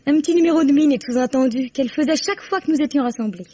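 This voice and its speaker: A woman's voice: sits high, rapid-fire, mellow, natural voice. An actress playing a proud, outspoken young woman suspect in a radio mystery, frank, scornful and emphatic. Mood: scornful, bitter. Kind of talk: French radio mystery drama acting. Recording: dull, dry, band-limited radio drama recording.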